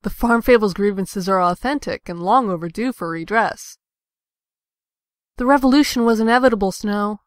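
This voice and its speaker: A woman's voice: mid-range voice, normal speed, no room sound, clean studio sound, natural voice. A woman playing a cocky, defiant young rebel in a comic dub, taunting and declamatory. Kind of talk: amateur comic dub acting.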